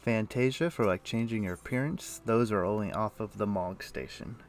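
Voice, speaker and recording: Male game streamer, lowish gravelly voice, halting casual commentary, muttering to himself. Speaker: game livestreamer. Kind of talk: casual livestream chat with gameplay commentary.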